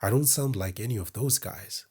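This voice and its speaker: A man from Zimbabwe with a deep voice, talking to camera in a casual, meandering, self-deprecating way as host of his own show. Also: moderate pace, a little mellow, husky. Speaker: podcast host. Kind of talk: casual solo talk to camera. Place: indoors in front of a camera.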